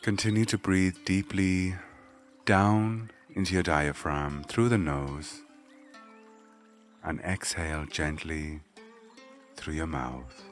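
A man leading a guided meditation, giving gentle, measured spoken instructions. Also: deep voice, soft background music.

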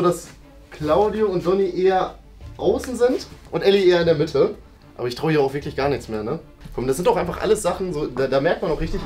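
A young man hosting a game in casual, playful German chat, animated and thinking aloud. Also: on the high side. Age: young adult. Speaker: host and guesser in a group guessing game. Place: bright indoor studio room.

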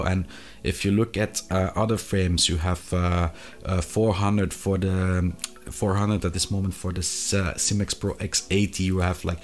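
A man in his thirties presenting a product review to camera, with a hesitant, halting delivery and frequent pauses. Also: deep voice. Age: 30s. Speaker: video reviewer of sim racing gear. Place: small indoor hobby room.

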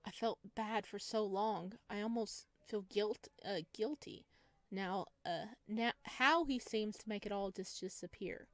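A woman's voice: medium pitch, a little slow, a bit bright, no room sound. A woman streamer reading a visual novel aloud expressively and dramatically, adding her own commentary, close to the microphone.

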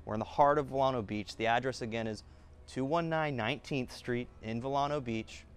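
A man in his thirties giving an upbeat real estate walkthrough of a house, listing features in quick bursts as he walks through the rooms. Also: mid-range voice, clear, resonant tone. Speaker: real estate agent giving a home tour. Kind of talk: enthusiastic walkthrough tour. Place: inside and around a single-story house.

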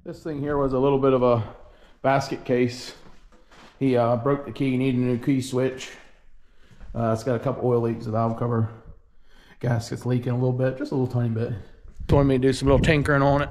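A man's voice: medium pitch, little pitch movement, brisk, a little mellow. Plain-spoken folksy farm guy. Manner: plain-spoken, folksy, thinking aloud with fillers. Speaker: farm vlogger. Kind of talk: casual vlog narration. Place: small farm, barn and workshop.

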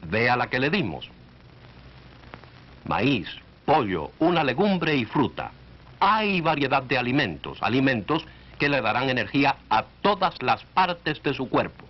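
A man's voice: medium pitch, sing-song, a little slow. A man narrating a 1940s educational film in an instructive way, speaking directly to the listener. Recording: dull, band-limited 1940s film soundtrack.

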